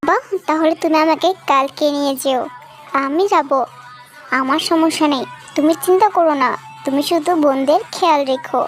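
A woman's voice: high voice, normal speed, soft background music, lightly acted. A woman voicing a merchant's kind, obedient young daughter in a fairy-tale cartoon, earnest and sweet. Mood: willing, reassuring.

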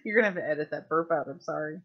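A woman co-commentating on a gaming video, bantering casually with a mocking, drawn-out delivery. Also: low voice. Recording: dull lo-fi mic, faint music.

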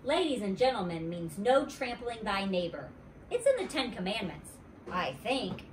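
Stern schoolteacher, firm and deliberate, done by a woman reader; distant mic, faint hiss. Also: mid-range voice, big pitch swings, roomy sound, lightly acted. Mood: instructing. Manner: firm, scolding, slow and deliberate. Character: stern female schoolteacher, adult. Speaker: teacher.

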